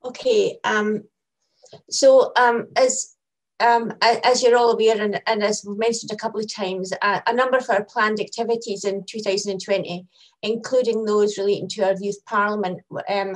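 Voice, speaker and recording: A woman curator introduces the next part of an online launch event. Her voice sits at a middle pitch. She is hesitant, often restarting her sentences as she reads through her notes. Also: rough recording. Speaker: exhibition curator.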